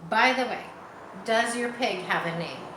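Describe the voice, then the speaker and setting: An earnest, grave minister from a children's storybook, played by a woman reader. He speaks in measured phrases. The room is echoey, with a little background noise. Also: on the high side, lively intonation. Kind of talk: children's storybook read aloud. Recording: roomy echo, faint background noise.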